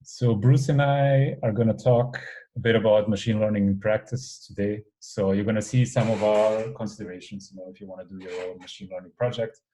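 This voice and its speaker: Serbian-born guy lecturing, low-ish mellow voice, lots of uh and um. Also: fairly even intonation, a little fast, low-quality recording. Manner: casual, rambling, many fillers. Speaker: guest lecturer. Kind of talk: recorded online lecture.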